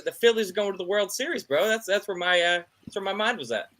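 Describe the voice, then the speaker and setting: A man co-hosting a sports podcast, chatting casually and excitably about his team. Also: high voice, fast talker. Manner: excitable, animated, says "bro" and "man". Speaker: podcast co-host.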